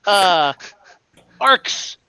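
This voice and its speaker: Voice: mid-range voice, big pitch swings, very slow, bright, forceful tone, clear, resonant tone, dry recording. A game master narrating and refereeing an online tabletop roleplaying game in a conversational, explanatory way.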